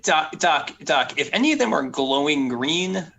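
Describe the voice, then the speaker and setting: A man playing a tabletop roleplaying game, talking casually and haltingly as he repeats words. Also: a little high, animated, creaky, bright, forceful tone, slight background noise. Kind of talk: casual in-character game discussion.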